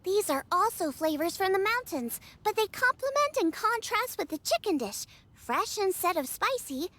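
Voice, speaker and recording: A woman's voice: very high voice, very animated, normal speed, sharp tone, no room sound, exaggerated voice. A woman voicing a cheerful, encouraging young girl chef in a video game, with music behind her. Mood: proud, explaining. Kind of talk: English video game voice acting.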